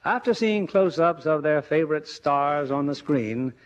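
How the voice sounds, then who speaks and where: Animated man hosting vintage radio theatre. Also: on the high side, a little slow. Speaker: radio theatre host and producer. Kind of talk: scripted, theatrical 1950s radio presenting. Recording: dull old radio broadcast recording.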